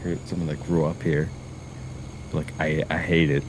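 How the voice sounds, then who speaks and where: Deep-voiced chatty man from Boston. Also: normal speed, poor audio. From Boston area, USA. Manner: chatty, leans on "like", explains at length. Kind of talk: casual banter while gaming. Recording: steady background noise.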